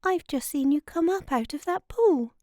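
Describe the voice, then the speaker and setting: A woman's voice: high-pitched, animated, moderate pace, darkish tone, dry recording, studio quality, pitched above the performer's own voice. A woman voicing a dreamy but sensible young girl in a children's storybook, earnest and questioning. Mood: curious.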